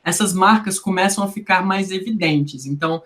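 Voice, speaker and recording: A woman's voice: fairly low pitch, moderate pace, a bit bright. A woman from Brasília with a Brasília accent, a linguistics researcher explaining in a conversational interview, didactic and full of tag questions. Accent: Brasília Portuguese. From Brasília, Brazil.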